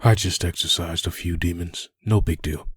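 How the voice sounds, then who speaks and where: A man playing a brooding, troubled man cursed with a living costume in a comic dub, with a raspy, husky voice. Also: low-pitched, fairly even intonation, moderate pace, a bit bright, dry recording, studio quality, heavily acted. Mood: dry. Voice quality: raspy, gravelly husk.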